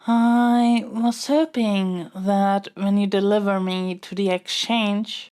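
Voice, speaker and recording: Woman acting a slow, formal young princess. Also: medium pitch, clear, resonant tone. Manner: formal, stately, pleading diplomacy. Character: young royal princess, haughty yet smitten, kidnapped by dragon. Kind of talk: scripted audio roleplay acting.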